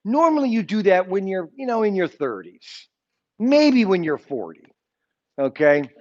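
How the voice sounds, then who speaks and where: A man's voice: high voice, very animated, a little slow, muffled recording. A man in his sixties teaching an informal Sunday school Bible lesson, rambling and often checking in with his listeners. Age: 60s. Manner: rambling, keeps checking in with "okay?".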